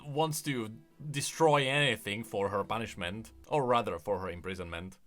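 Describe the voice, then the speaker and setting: A man giving casual, opinionated commentary as a gaming YouTuber, explaining as he goes in an animated way. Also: on the low side, moderate pace. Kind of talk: casual commentary on game voice lines. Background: faint music.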